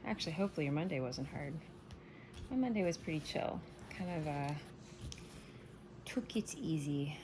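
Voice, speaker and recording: A woman giving a casual morning tarot reading, soft-spoken and meandering, her voice still scratchy from waking up. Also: deep voice, lively intonation, moderate pace, long pauses. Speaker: tarot reader.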